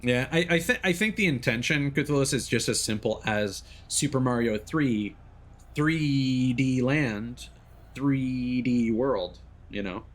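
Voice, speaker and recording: A man giving casual, halting gameplay commentary as a live streamer, explaining as he plays. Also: mid-range voice. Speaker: live streamer playing a racing game. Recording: game music behind the voice.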